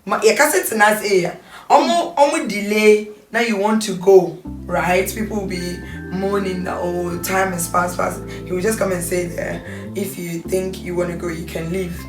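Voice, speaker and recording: A woman with a Ghanaian accent chatting casually and reminiscing. She tells her stories in a lively way, laughs often, and acts out the voices of the people she quotes. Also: on the low side, moderate pace. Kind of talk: casual reunion chat, reminiscing. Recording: roomy sound, mic at a distance, music behind.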